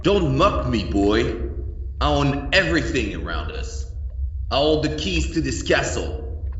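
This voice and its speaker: A muffled, echoing recording with some hiss carries a rich, scheming mine owner. He speaks in a pompous, condescending way with a highly animated delivery. It sounds like an old-fashioned radio horror play. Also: unhurried. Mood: indignant, domineering. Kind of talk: retro radio horror drama acting. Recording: muffled, echoing, distant cave-like sound with noise.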